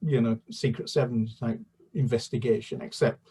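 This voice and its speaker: An older man talks in a husky, breathy voice, heard from some distance off the mic. He chats casually and hesitantly as a children's author, full of fillers and restarts. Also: medium pitch, steady tone, normal speed, mellow. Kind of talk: casual interview chat.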